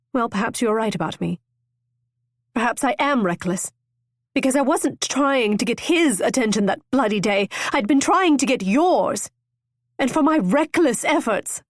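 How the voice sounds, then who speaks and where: A woman reading the lines of an impulsive young lady, sharp-tongued and easily hurt. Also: a little high, no room sound, clean studio sound, pitched above the performer's own voice, natural voice. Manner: tart, tearful indignation.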